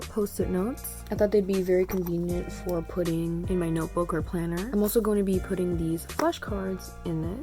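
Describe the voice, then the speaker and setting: A young woman chatting casually in a home vlog, rambling with fillers while her phone camera sits on the couch. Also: medium pitch. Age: young adult. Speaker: student vlogger. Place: home room under a loft bed.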